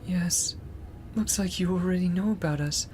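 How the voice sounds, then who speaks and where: A man's voice: high voice, moderate pace, a little mellow, a little hiss or hum, voice pushed up, natural voice. A man voicing a polite, earnest young male student from a game, courteous and eager.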